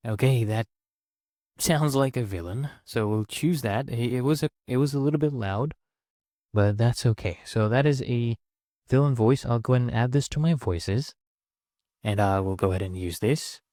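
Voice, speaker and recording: A man presenting a step-by-step software tutorial in an informal, instructional way. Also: medium pitch, moderate pace, no echo, clean studio sound.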